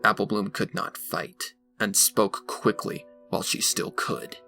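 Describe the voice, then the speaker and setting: Gravelly, low-voiced male storyteller. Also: measured pace, pushed and bright. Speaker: narrator. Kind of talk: dramatic scripted story narration. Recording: music behind the voice.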